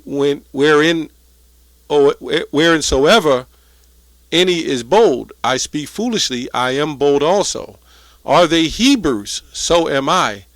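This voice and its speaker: A man's voice: a little high, fairly bright tone, no room sound. A man giving informal religious teaching and scripture commentary in a colloquial way, often checking in with short rhetorical questions.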